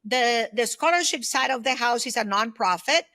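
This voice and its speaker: Female, 50s, interview guest. Bright, forward voice, slightly high, dry close sound, earnest explainer. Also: animated, normal speed, no echo. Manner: earnest, explanatory, often checks in with "right?". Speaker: podcast guest, consultant and former aerospace executive. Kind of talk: conversational interview answers.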